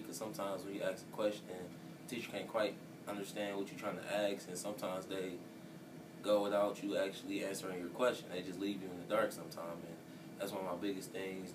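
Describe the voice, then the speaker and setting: A 22-year-old male student from Milwaukee, rambling through interview answers with many "you know" fillers. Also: sits low. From Milwaukee, Wisconsin. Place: office computer room, indoors. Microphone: distant camera mic. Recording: distant mic with steady hiss.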